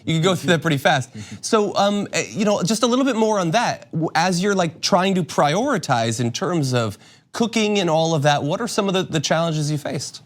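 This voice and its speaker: A man's voice: sits high, animated, normal speed. A man hosting a casual interview, feeling his way through his questions in a meandering, hesitant manner. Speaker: show host interviewing a guest.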